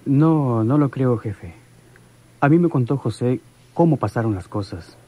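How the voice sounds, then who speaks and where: A man's voice: medium pitch, lively intonation, unhurried, warm tone, no room sound. An actor playing a deferential henchman reporting to his boss in a radio drama. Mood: deferential, explaining.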